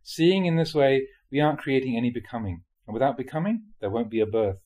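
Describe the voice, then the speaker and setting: A man reading a spiritual talk aloud in a measured, explanatory way, often posing rhetorical questions. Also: medium pitch, expressive, mellow. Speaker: monk reading dharma talks aloud.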